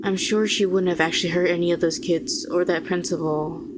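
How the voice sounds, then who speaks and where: A woman acts out a fallen heroine turned telepathic villain in a dramatic audio roleplay. Her voice sits a little low and stays very level in pitch. She is bitter, weary and wry, putting pointed questions to the listener over clearly audible background noise. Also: steady tone, lightly acted. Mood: insistent, defensive. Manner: deliberate, sardonic, rhetorical questioning, condescending endearments.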